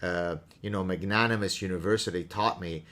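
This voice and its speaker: A man in his fifties, born in Lebanon with Arabic as his first language, hosting a conversation with quick, emphatic bursts. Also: low voice, steady tone, bright, forceful tone. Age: 50s. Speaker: podcast host and professor. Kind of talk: casual conversation.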